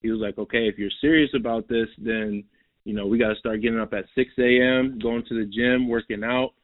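A man's voice: mid-range voice, fairly even intonation, a little fast, no echo. Rambling young man on a tinny line. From New York. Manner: rambling, repeats words, says "bro" and "like". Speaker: basketball player, guest. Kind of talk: casual interview. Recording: tinny phone-like line, dry.

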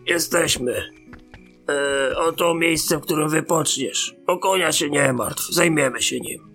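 A man's voice: on the high side, takes it slowly, fairly bright tone, soft background music. A man acting a dutiful garrison officer in a fantasy audio drama, speaking formally and respectfully. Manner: formal, deferential.

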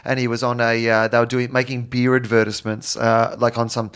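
A man in casual chat, telling a comic anecdote in an animated way with hesitant pauses. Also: medium pitch, smooth.

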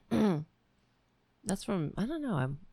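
A woman hosting a political livestream with a worn, raspy voice, talking bluntly and sarcastically while reading the chat. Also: medium pitch, big pitch swings, drawn-out words, dark tone, no echo. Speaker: livestream show host.

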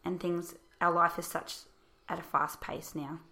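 Aussie woman teacher, bit croaky, lowish voice, calm and thoughtful. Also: a little slow. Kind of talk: casual discussion.

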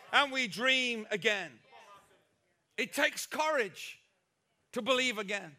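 Male preacher, very high voice, slow deliberate delivery, emphatic repetitions, bursts of shouting. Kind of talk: impassioned sermon.

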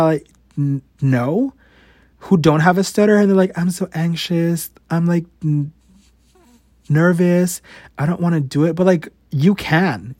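A man's voice: fairly high pitch, a little mellow, dry recording, studio quality. A man in his late twenties talking casually on a podcast, stuttering often, restarting words and swearing. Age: 20s. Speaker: podcast host. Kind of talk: casual confessional monologue.

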